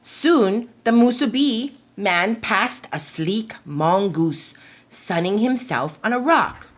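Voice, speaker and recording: A woman reading a children's picture book aloud as a storytime reader, in an expressive, deliberate storytelling manner. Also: medium pitch.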